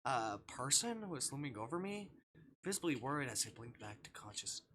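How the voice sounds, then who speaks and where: Guy streamer reading a story game out loud, super expressive bright voice, some noise behind him. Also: medium pitch, moderate pace. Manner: theatrical, swings from hushed narration to shouting. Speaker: livestreamer. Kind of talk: reading a visual novel aloud with live reactions.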